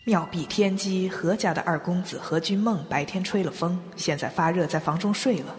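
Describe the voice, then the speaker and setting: A man's voice: high voice, moderate pace, background noise, close to an everyday voice. A man playing a deferential, ruthless sect subordinate in a wuxia audio drama, formally reporting to a superior. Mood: obedient, cold. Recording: long hall reverb.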